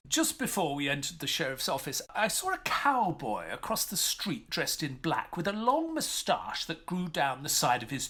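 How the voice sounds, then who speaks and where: A man's voice: a little high, fairly bright tone, exaggerated voice. An actor playing a polite, earnest male military doctor who also narrates the story of an audio drama. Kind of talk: first-person narration and dialogue in an audio drama.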